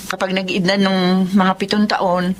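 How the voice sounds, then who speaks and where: A woman's voice: medium pitch, level tone, moderate pace, slight background noise, close to an everyday voice. A woman playing a humble, devoted nanny in a Filipino TV melodrama, speaking politely and deferentially with a Filipino accent. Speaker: actor in a drama.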